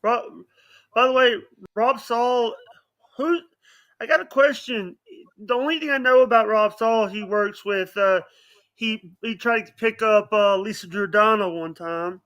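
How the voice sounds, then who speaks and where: A man in his late twenties chatting as a podcast guest, halting and scattered, often repeating himself. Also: high voice, a bit bright, no echo, poor audio. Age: late 20s. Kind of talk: casual podcast chat.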